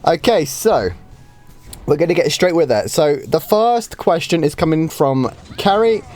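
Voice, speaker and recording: Hoarse gamer chatting casually. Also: male voice, fairly high pitch, animated, a little slow, darkish tone, soft background music. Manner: chatty, easygoing, with frequent ums and light laughs. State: slightly hoarse from a starting cold. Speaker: game streamer. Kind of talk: casual live stream Q&A.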